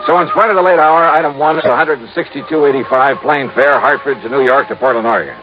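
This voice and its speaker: An actor playing a wry, world-weary American insurance investigator in a 1950s radio drama, with a dry, clipped, wisecracking delivery. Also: male voice, medium pitch, quick pace, faint music behind, natural voice. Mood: wry narrating. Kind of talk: 1950s radio detective acting and narration. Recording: muffled old radio recording, faint noise.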